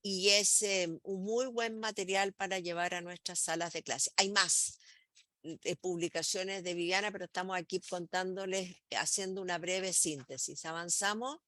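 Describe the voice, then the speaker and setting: A middle-aged woman guides teachers through a slide presentation. Her voice is bright, and she talks with many "eh" pauses and small restarts. The recording is dry and close, with no room sound. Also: fairly low pitch, steady tone, no room sound. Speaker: host presenting materials to teachers.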